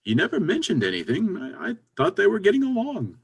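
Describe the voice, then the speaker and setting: Male actor as brisk, pushy businessman pal for stage comedy; husky voice, wildly swooping intonation, exasperated. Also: sits high, wildly swooping pitch, heavily acted. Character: brisk, pragmatic businessman friend, impatient but encouraging. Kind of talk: stage comedy readers theater.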